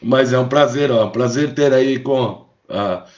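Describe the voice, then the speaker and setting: A man's voice: mid-range voice, a little slow, a little mellow. A Brazilian man hosting a live stream in a casual, reassuring way, often checking in with short tag questions. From Brazil, born in Rio de Janeiro. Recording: dull, lo-fi live stream audio.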